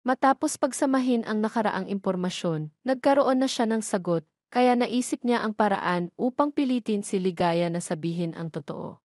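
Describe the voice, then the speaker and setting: Female narrator, mid-pitched, very dry recording, for scripted romance storytelling. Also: normal speed, darkish tone, no echo.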